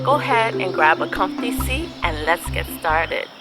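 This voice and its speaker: A woman hosting a children's storybook read-aloud, speaking in an expressive, deliberate way with drawn-out phrasing. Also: lowish voice, loud music behind the voice. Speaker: host reading picture books aloud for children.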